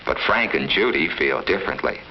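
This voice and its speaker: A man's voice: a bass voice, lively intonation, a little fast, slight background noise. A man narrating a 1950s educational film from a script, in an instructive, storytelling manner aimed at children. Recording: muffled vintage 1950s film soundtrack. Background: background music.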